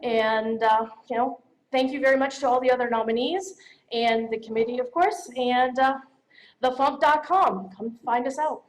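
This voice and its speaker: A woman's voice: sits high, normal speed, poor audio. A woman giving an informal, effusive acceptance speech at an awards event. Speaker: podcast host accepting an award.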